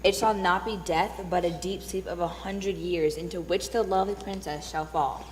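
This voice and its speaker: Kindly stage fairy godmother type, female reader, measured and calm, mid-range, faintly noisy ringing hall. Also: on the low side, close to an everyday voice. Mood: solemn reassurance. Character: kindly, calm wise woman bestowing magic gifts. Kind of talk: readers theatre stage reading. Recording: reverberant hall with faint noise.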